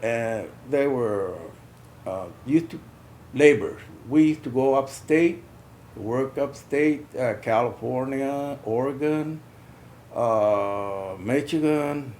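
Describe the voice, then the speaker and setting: An older man from South Texas recalling his youth in a halting way, often repeating words. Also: medium pitch, a little mellow, a little hiss or hum. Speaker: interviewee, veteran. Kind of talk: casual recollection.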